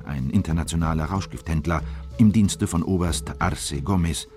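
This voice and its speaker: A man acting as an official who recounts a secret operation in measured, factual radio play narration. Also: extremely low pitch, darkish tone. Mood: measured, grave. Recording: music behind the voice.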